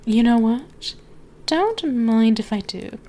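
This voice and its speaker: A woman voicing a seductive, teasing, dominant ancient female dragon in an ASMR roleplay, speaking intimately to the listener in a drawn-out way that often dips toward whispers. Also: on the high side, expressive, mellow. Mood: teasing, flirtatious.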